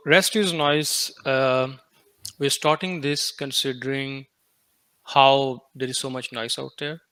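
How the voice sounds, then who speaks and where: A young man from Pakistan hosts a casual podcast, his voice at a middle pitch and recorded dry and close. He talks haltingly, with plenty of fillers, and slips between English and Hindi-Urdu. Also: a bit bright, clear, resonant tone, dry recording, low-quality recording. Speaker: podcast host.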